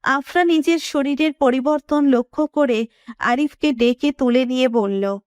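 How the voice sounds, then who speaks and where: High-pitched woman narrating a love story. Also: unhurried, no room sound, clean studio sound. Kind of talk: scripted romantic story narration.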